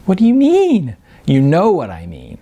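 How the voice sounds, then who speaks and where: A bubbly young woman, an aspiring actress in an audiobook story, acted out by the narrator. She is blunt but supportive, with swooping, animated delivery and a slightly rough edge. She teases freely. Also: medium pitch, unhurried, voice pushed up, exaggerated voice. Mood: upbeat, teasing. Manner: animated, casual, hedging in bursts.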